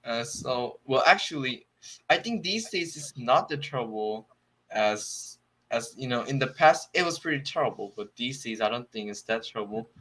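A young Korean man practising English on a video call from a small room at home. He speaks with a Korean accent, pausing often and reaching for words with plenty of fillers. His voice sits at an ordinary middle pitch. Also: animated, a bit bright, slightly rough, poor audio. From South Korea. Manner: hesitant, searching for words, many fillers. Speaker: English learner. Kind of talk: casual video-call conversation.

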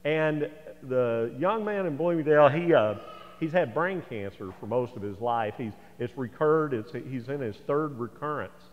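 A man's voice: mid-range voice, animated, moderate pace. An older American man in his sixties preaching a sermon in a folksy, conversational way, with asides to the congregation. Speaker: pastor. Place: large church sanctuary, indoors. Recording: echoey church hall, slightly dull sound.

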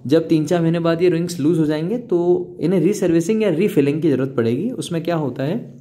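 Male Indian-accented presenter, mellow and slightly high, explaining a service promotionally with English words mixed in. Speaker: hair clinic presenter. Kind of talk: promotional demonstration narration.

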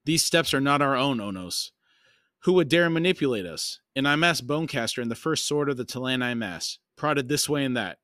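A man's voice: mid-range voice, expressive, fairly quick, sharp tone, no echo, clean studio sound. A man reading the part of an ancient, proud sorceress in a fantasy audiobook, with formal phrasing. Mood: defiant. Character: ancient proud sorceress sister, cool and defiant.

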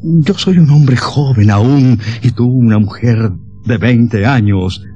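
A man's voice: mid-range voice, slow pace, warm tone, husky, dull audio. An actor playing a brooding, possessive maestro in love with his ward, in impassioned old radio melodrama style. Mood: desperate pleading. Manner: impassioned, theatrical.

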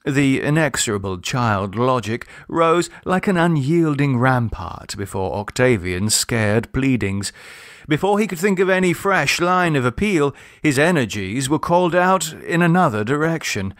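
A man narrating a literary short story in a measured, expressive, formal storytelling manner. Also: medium pitch, no echo, clean studio sound. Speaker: audiobook narrator.

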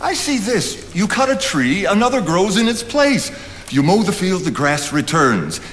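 An older actor playing a genial friend and writer, teasing and practical, who talks in a jovial, chuckling way. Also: male voice, high-pitched, very animated, unhurried, fairly bright tone, slight background noise, exaggerated voice. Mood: puzzled. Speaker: stage actor. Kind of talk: stage play acting. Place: theatre stage. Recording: hall reverb of a theatre stage.

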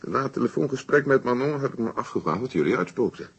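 An actor playing an earnest, plain-spoken male sidekick in a radio play, gravelly and husky. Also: mid-range voice, expressive, fast talker, full character voice.

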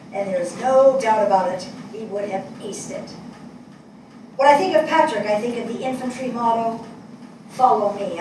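Older lady playing thoughtful compassionate writer in a stage play, earnest, measured, super echoey, noisy room. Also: on the high side, darkish tone, distant mic, close to an everyday voice. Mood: proud tribute. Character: thoughtful, compassionate woman writer helping draft eulogies. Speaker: actor in a staged play reading. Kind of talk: dramatic stage reading. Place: small indoor theatre stage before an audience. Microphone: distant camera mic.

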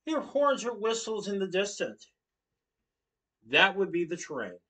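A man's voice: high voice, very animated, a little slow, sharp tone. A young man from Connecticut giving an informative class speech at home, standing and gesturing, sometimes correcting himself. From Connecticut, USA. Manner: standing, gesturing while speaking, occasional self-corrections. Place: living room at home, indoors. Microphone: webcam across the room. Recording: voice from a distance.

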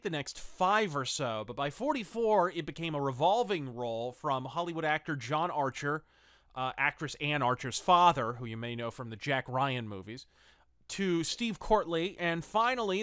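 A man's voice: a little high, fairly bright tone, no room sound, studio quality. A man hosting a review podcast, enthusiastic and semi-scripted, mixing ornate, wordy phrasing with blunt asides.

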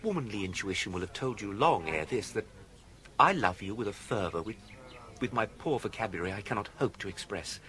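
An actor playing an urbane, unflappable young English gentleman in a radio comedy, speaking in a florid, mock-formal manner. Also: lowish voice, animated, fairly quick. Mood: ardent, florid.

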